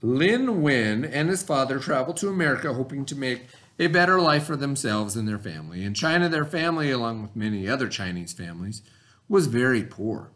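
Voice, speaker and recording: A man teaching through a read-aloud, pausing to explain things and put questions to his listeners. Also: mid-range voice, expressive, fairly bright tone.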